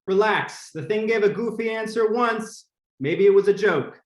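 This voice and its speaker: A man's voice: high-pitched, moderate pace, roomy sound, lightly acted. A man playing a brusque, unworried middle-aged boss in a 1950s-style radio drama. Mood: dismissive. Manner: brusque, dismissive. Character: dismissive, unworried male boss, forty-five. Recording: heavy noise behind voice.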